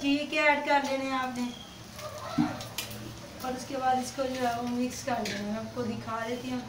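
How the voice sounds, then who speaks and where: A woman's voice: sits high, level tone, normal speed, loud music behind the voice. A young woman explaining a home recipe step by step in a chatty, casual way, recorded on a phone outdoors at home. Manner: chatty step-by-step explaining, frequent religious blessings. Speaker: home cooking vlogger. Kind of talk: casual cooking demonstration.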